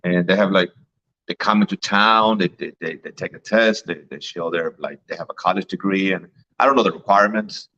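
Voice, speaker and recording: Low-voiced guy who grew up in mexico, rambling stories, dull lo-fi sound. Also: a little fast, pushed and bright, slight background noise. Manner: storytelling, repeats words, laughs often. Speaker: guest. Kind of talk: casual chat.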